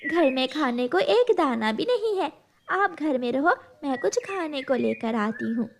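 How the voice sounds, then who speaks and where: A woman voicing a gentle, earnest mother sparrow in a children's cartoon. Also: high voice, sing-song, measured pace, gravelly, slight background noise, heavily acted. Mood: tender, concerned. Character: gentle mother sparrow, caring, earnest, storytelling to her daughter.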